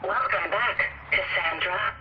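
A woman's voice: lowish voice, slow pace, dull audio, a little hiss or hum. A woman voicing a cool artificial intelligence in a video game, clipped and impassive. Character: cool female artificial intelligence guiding an agent. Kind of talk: video game assistant announcements.

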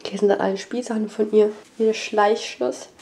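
A woman's voice: medium pitch, steady tone, moderate pace, gravelly, mellow. A young woman with a cold gives a casual tour of her family home, walking from room to room as she films herself on a handheld camera. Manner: chatty, walking and pointing things out. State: has a cold. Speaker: family vlogger. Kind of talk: casual house tour vlog. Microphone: handheld wide-angle action camera at arm's length.

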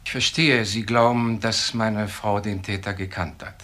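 An actor playing a composed, authoritative professor in a radio crime drama, speaking formally with measured pauses. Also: male voice, on the low side, steady tone, a bit bright. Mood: controlled, grave. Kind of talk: naturalistic German radio crime drama acting.

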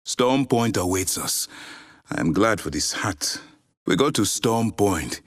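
An enigmatic male showman from a video game. His voice is deep and calm, each phrase poised and slowly measured. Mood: serene.

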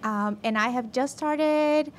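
Female podcast guest, 20s, high voice, playful, audible background noise. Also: takes it slowly. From Brazil. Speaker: podcast guest, physician and researcher. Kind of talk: casual podcast chat. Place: podcast studio, indoors. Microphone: desk microphone on boom arm.

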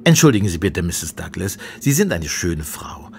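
A blunt, low-voiced police inspector. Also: male voice, very animated, normal speed, gravelly, darkish tone, slight background noise, exaggerated voice. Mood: formal, probing. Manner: formal, direct. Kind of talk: radio play acting.

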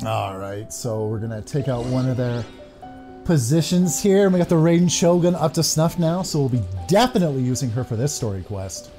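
A man's voice: mid-range voice, brisk, dark tone. A man in his thirties giving casual playthrough commentary as a gaming streamer in a room at home. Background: game music and sound.

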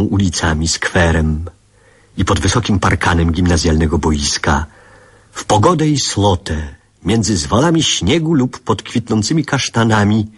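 Husky low-voiced man recalling his boyhood. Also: exaggerated voice. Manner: measured, reflective, dramatic phrasing. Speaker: narrator. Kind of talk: literary radio drama narration.

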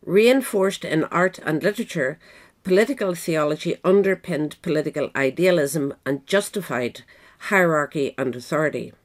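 A woman reading scripted nonfiction narration as an audiobook reader. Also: deep voice. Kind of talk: scripted narration of a political history essay.

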